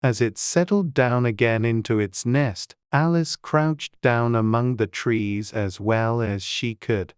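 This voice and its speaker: An audiobook narrator reading a classic children's story in a measured, gentle way. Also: mid-range voice, clean tone, no echo, clean studio sound.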